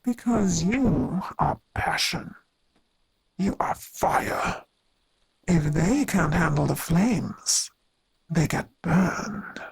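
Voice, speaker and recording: A woman playing a sinister, seductive inner voice that coaxes and feeds obsession in a horror radio drama, husky and breathy. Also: lowish voice, animated, extremely slow, no room sound, full character voice, rough recording. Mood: coaxing, scornful. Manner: coaxing, insistent.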